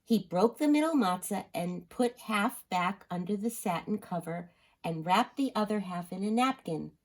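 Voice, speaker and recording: A woman's voice: mid-range voice, animated, moderate pace, poor audio. A woman reading a children's story aloud, expressively, sometimes turning to address her listeners.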